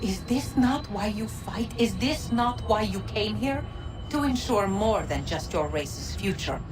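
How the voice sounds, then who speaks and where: An actress playing a wise, ancient godlike woman in a video game, solemn and pleading in formal, measured words. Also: medium pitch, expressive, music in the background, close to an everyday voice. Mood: urgent pleading. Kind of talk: dramatic video game acting.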